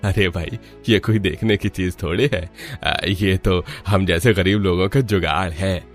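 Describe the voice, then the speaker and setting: A man's voice: deep voice, steady tone, a little slow, faint music behind. A man playing a modest, good-natured older village father-in-law in a cartoon, speaking in a homely way. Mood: modest, approving. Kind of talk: Hindi cartoon character dialogue.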